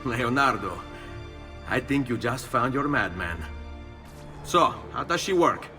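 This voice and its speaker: Game lead: young Italian assassin, bright forceful voice, confident, Italian accent, light music underneath. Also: male voice, medium pitch, expressive, measured pace. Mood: confident, urgent. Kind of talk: video game cutscene acting.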